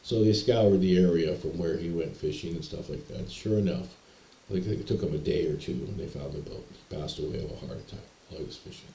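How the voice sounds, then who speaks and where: A man's voice: low voice, a little fast, gravelly, dark tone, a little hiss or hum. A young man from Canada telling a rambling personal story to camera, hesitating and restarting often. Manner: meandering, hesitant, repeats words and restarts. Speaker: vlogger telling personal stories. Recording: echoey room.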